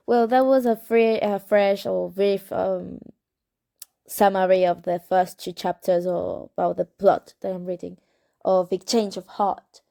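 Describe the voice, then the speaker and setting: A young woman with a Spanish accent reads a novel aloud in English, taking her time at a slow pace. Her voice is soft and warm. She breaks off for chatty comments, hesitates often and corrects her own words. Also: medium pitch, gravelly. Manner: unhurried, hesitant, self-correcting. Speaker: amateur reader of a novel. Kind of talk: casual reading aloud with chatty asides.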